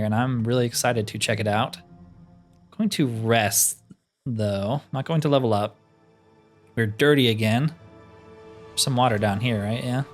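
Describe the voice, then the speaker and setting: A man giving casual game commentary, thinking aloud in an easygoing way. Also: medium pitch. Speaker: gamer narrating own roleplay playthrough.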